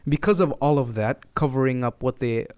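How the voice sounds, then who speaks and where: Halting young man in a dry-sounding room. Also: medium pitch, a little mellow, smooth, no echo. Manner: halting, repeats phrases as he explains. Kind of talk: casual podcast chat.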